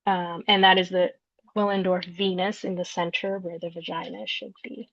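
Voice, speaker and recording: Woman artist from Peru presenting her work, gravelly fry, muffled dry lo-fi sound. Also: fairly low pitch, little pitch movement, a bit bright, dry recording. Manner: explanatory, unhurried, searching for words. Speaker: visual artist. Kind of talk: artist talk walking through slides of her work. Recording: dull lo-fi recording, dry.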